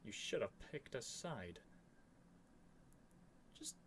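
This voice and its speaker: A stubborn young human prince, acted by a man in an ASMR roleplay, speaking in a mid-range voice that swings widely in pitch. He is haughty and theatrical in his wording yet easily flustered into stammering. There is clear noise behind the voice. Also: sing-song, slow pace. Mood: exasperated, scheming.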